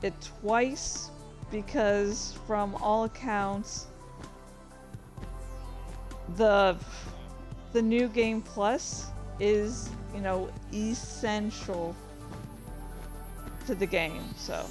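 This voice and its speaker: A woman's voice: medium pitch, fairly even intonation, very slow, darkish tone, soft background music. A woman hosting a livestream, chatting casually and rambling to her viewers in a playful, easily sidetracked way. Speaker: livestream host and streamer.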